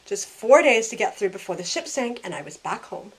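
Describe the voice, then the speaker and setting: An actress plays a snarky young woman in an audio drama. She tells her own story with dry sarcasm and a teasing edge, at a medium pitch. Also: very animated, fairly quick, breathy edge, rough recording. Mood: wry narration. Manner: wry, sarcastic. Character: snarky young woman thrown back aboard the Titanic. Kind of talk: first-person scripted narration and acted dialogue.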